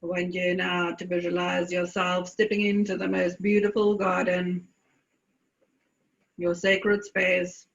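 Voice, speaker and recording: Slow, creaky-voiced woman guiding meditation. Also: on the low side, flat delivery, lo-fi sound. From South Africa. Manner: slow, deliberate phrasing with long pauses. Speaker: meditation guide. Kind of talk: guided meditation.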